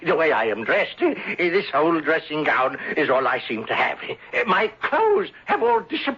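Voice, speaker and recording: An actor playing a jolly, dishevelled drunken gentleman, rambling and stammering in an old-time radio drama. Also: on the high side, huge pitch swings, normal speed, husky, heavily acted. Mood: apologetic, rambling. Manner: rambling, stammering, theatrically hearty. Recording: dull, hissy old radio broadcast.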